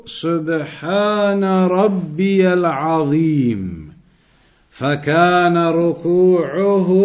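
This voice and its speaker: A man giving an Islamic lesson, reading texts aloud and explaining them deliberately, repeating phrases for emphasis. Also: high-pitched. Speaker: religious teacher.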